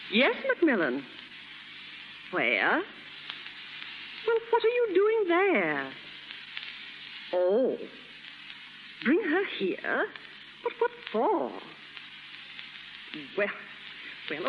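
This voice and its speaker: An actress playing a stern, snobbish middle-aged society mother in a 1930s radio drama, haughty and scolding. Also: medium pitch. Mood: puzzled, formal. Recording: scratchy, muffled, echoing 1930s radio recording.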